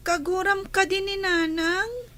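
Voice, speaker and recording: A woman's voice: very high voice, a little slow, pushed and bright, heavily acted. A woman acting a plaintive young child who misses a parent, asking questions in a radio drama. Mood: plaintive, wondering.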